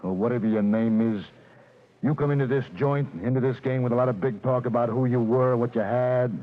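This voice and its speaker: A man's voice: mid-range voice, steady tone, brisk, close to an everyday voice. A man playing a menacing prison bully. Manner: threatening, clipped tough-guy talk. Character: menacing male prison bully, tough adult. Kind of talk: 1940s radio acting. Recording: tinny old radio broadcast.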